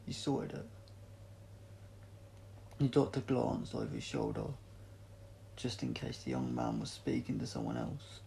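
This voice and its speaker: A man's voice: rumbling low, big pitch swings, moderate pace, creaky, warm tone, slight background noise, rough recording. A man reading a story aloud as an audiobook narrator, in an amateur style with occasional stumbles. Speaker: audiobook reader.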